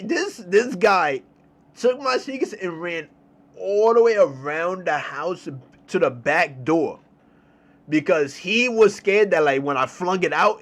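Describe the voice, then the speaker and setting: A young man with a New York accent telling a story in a casual, slangy, animated way, repeating phrases for emphasis. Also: a little high. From New York City, United States. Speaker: storytelling streamer.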